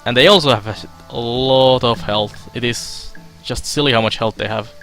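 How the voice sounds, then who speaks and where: A young man from Finland giving casual let's play commentary, halting with fillers as he reads game text aloud. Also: mid-range voice, fairly bright tone, faint music behind. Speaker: streamer.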